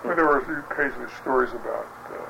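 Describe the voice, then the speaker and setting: Gravelly older man recalling his past. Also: mid-range voice, pushed and bright. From Baltimore, United States. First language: Yiddish. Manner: reflective, halting with many fillers. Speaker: interviewee, rabbi. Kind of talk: oral history interview. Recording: dull lo-fi recording with clear hiss.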